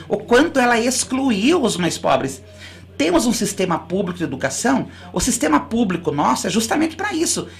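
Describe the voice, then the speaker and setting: A school director from Brazil, a guest on a radio interview. She explains in long, earnest runs and often restarts her sentences. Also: mid-range voice. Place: radio studio.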